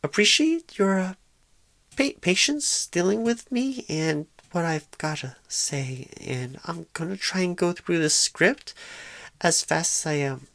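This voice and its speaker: Woman acting as nervous female job recruiter, ASMR roleplay, lowish voice, slow stumbling delivery, timid, awkward. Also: expressive, fairly bright tone, no room sound. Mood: nervous, apologetic. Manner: stammering, halting, hesitant, apologetic and awkward.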